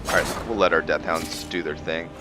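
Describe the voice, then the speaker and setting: A man's voice: extremely low pitch, fairly even intonation, normal speed. A man giving casual let's play commentary as a gaming video host, laid-back and thinking aloud. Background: game music.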